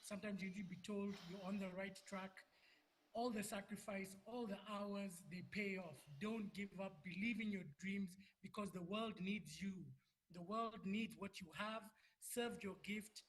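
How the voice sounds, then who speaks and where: A man's voice: high voice, fairly even intonation, unhurried, slightly rough, poor audio. A man giving a rousing, motivational acceptance speech for an award. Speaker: award winner.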